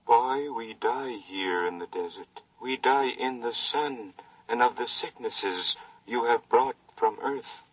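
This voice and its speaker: A man's voice: mid-range voice, fairly bright tone. A man playing a weary, dignified Martian who speaks formal, stilted words in a 1950s radio drama. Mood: weary, resolute. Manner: slow, stilted formal speech in a foreign tongue. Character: weary, dignified male Martian, frail and solemn. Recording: distant old radio recording.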